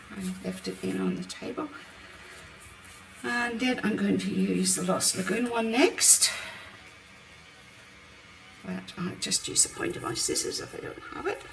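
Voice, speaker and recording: A woman hosting a card-making demonstration, chatting through each step with a croaky voice. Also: medium pitch. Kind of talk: casual craft demonstration. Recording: roomy, mic at a distance, faint background noise. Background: birds outside.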